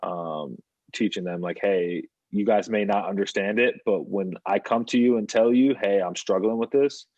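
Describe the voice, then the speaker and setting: A young man from New York, a professional baseball player, talking calmly and reflectively as a podcast guest. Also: on the low side, moderate pace, no room sound, dull audio. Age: young adult. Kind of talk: podcast conversation.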